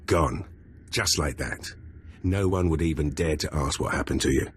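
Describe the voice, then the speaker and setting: A man's voice: extremely low pitch, moderate pace, faint music behind. An actor playing a brash, scheming male Imperial intelligence officer in a video game. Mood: cocky. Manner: cocky, conspiratorial.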